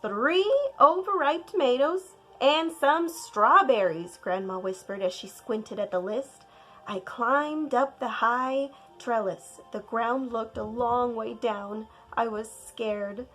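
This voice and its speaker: A woman narrating a children's storybook aloud like a teacher, deliberate and expressive, leaning on key words for drama. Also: on the high side, faint music behind, poor audio. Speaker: teacher reading a picture book aloud.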